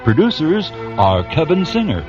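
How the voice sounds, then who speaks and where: A man announcing a radio drama in a measured, theatrical scripted narration. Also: fairly high pitch, very animated. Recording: dull lo-fi audio under music. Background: clear music.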